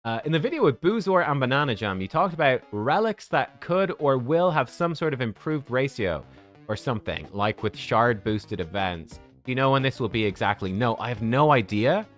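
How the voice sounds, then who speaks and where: A man's voice: mid-range voice, sing-song, normal speed, a bit bright, faint music behind. A man in his thirties chatting casually with viewers on a game livestream, rambling and joking at his own expense. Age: 30s.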